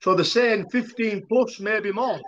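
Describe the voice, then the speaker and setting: High-pitched British man, dull audio. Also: measured pace, fairly bright tone. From United Kingdom. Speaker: podcast host. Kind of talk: interview questioning. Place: indoor room, on a video call. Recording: dull, lo-fi video call audio.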